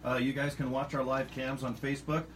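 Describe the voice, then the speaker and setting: A man's voice: medium pitch, little pitch movement, fairly quick, fairly bright tone, a little hiss or hum, poor audio. A man hosting a casual radio interview, talking in a rambling, chatty way with lots of fillers. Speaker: radio host.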